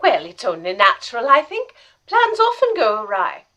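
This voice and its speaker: A woman playing an eager, devoted assistant in a period radio mystery, speaking in an earnest, proper and excitable way. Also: fairly high pitch, moderate pace, heavily acted. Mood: eager, polite. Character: eager, devoted young female assistant telling her own tale. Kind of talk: dramatic first-person narration and period radio acting.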